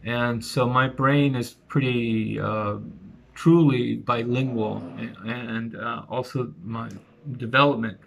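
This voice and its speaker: A man with a rough, gravelly edge in his voice tells his own story at an ordinary pitch. He rambles and circles back, filling pauses with ums and uhs. It sounds like a casual, unscripted personal video. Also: steady tone, a bit bright. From United States. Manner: rambling, reflective, many fillers and restarts. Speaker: vlogger. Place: outdoors near a bus stop in a small Italian town.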